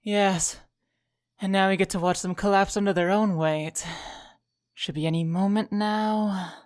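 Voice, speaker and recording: A woman's voice: mid-range voice, steady tone, a little slow, a little mellow, lightly acted. A woman playing a cold, clinical bandit poisoner in an audio drama, detached and confident in manner. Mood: cold, assured.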